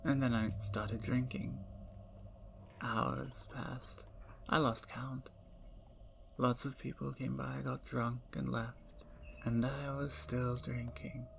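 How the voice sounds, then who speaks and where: A woman voicing a playful, talkative female vampire in an ASMR roleplay, teasing and dropping into soft whispers. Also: rumbling low, little pitch movement, slow pace, creaky, pauses often, slight background noise, heavily acted. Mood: bitter.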